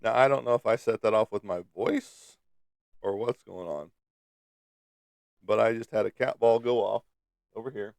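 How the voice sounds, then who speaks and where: A man's voice: fairly low pitch, moderate pace, creaky, pauses often, a little mellow, no room sound. A man hosting a casual chat who teases a viewer about sports and chuckles often as he talks. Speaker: host. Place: at a desk indoors.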